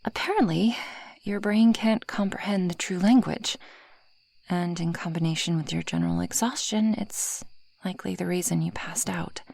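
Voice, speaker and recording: A woman voicing a possessive, proud female dragon in an ASMR roleplay, with formal, haughty phrasing and a breathy, husky voice that often drops toward a whisper. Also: on the low side, normal speed, darkish tone, dry recording. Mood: explaining, careful. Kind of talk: ASMR roleplay addressed to the listener.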